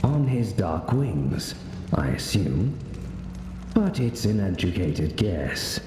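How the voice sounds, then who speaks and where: An actor playing a calm, wry male mentor in a video game, speaking in a measured, formal, instructive way. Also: deep voice, noisy background, full character voice. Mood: wry, composed. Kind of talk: video game cutscene acting. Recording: echoey hall reverb, music behind.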